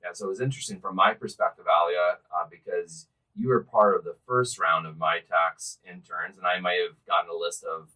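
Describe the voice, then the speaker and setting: A man hosting a casual podcast discussion with research assistants, relaxed and joking, trailing off at the ends of phrases. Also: low voice, brisk, hardly any pauses, rough recording.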